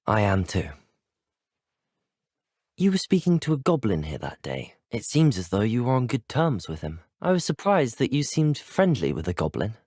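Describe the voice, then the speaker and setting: A man's voice: medium pitch, darkish tone, dry recording, studio quality. A young man with a British accent voicing a polite, earnest, brave teenage wizard student in scripted video game dialogue, well-spoken and courteous.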